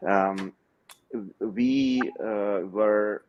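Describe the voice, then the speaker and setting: Low-voiced guy on a video call, dry close sound, slow careful explaining with lots of pauses. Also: fairly even intonation, a little mellow, no echo, dull audio. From Ukraine. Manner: measured, explanatory, pauses to find words. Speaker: brewery owner, guest. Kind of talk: interview over video call.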